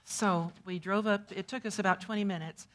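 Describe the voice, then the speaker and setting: An older woman guest recalls events one step at a time in a plain, steady way. Her voice sits at a middle height. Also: mid-range voice, normal speed. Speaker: interview guest. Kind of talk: recounting memories.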